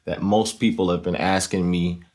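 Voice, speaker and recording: A man's voice: low-pitched, steady tone, moderate pace, a little mellow. A man hosting an instructional series, explaining things in a casual, conversational way and often checking in with the listener. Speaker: host of a talk box tutorial series. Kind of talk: casual explanatory tutorial talk.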